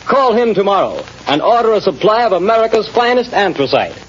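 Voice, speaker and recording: A man's voice: big pitch swings, fairly quick, loud music behind the voice. A male announcer reading a scripted commercial in 1930s radio style, formal and emphatic. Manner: emphatic, formal sales pitch. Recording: dull old radio recording, faint hiss.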